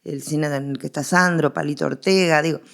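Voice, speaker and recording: An Argentine woman speaks as an interviewed expert in a low voice with noticeable creak. She explains conversationally, building her points through examples and emphatic repetition. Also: steady tone, brisk. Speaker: interviewed researcher of radio history.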